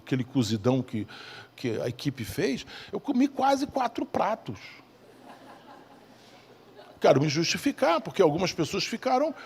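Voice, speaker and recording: A man with a Rio de Janeiro Brazilian accent and a husky voice preaches an evangelical sermon emphatically, repeating key phrases and asking rhetorical questions. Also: high voice, a little mellow. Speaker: preacher, bishop. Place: church service in a large hall. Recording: reverberant hall sound.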